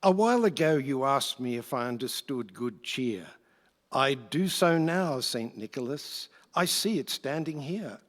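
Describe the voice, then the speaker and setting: An actor playing a humbled, grateful former Roman emperor in a radio play, speaking rhyme in a halting, polite, theatrical way. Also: male voice, medium pitch, expressive, lightly acted. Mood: humble, earnest. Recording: echoey hall with long reverb.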